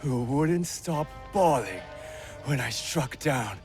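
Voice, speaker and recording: Slow, scornful warlord villain. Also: male voice, medium pitch, expressive, soft background music. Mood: contemptuous, menacing. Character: menacing, scornful warlord thug, bullying villain. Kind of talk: dramatic video game acting.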